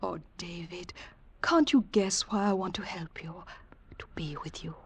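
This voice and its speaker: An actress playing a bold, impulsive young woman in love in a 1940s radio drama, persuasive and quick to shift from pleading to brisk. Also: on the low side, slightly rough, exaggerated voice. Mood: tender, pleading. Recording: 1940s radio broadcast recording.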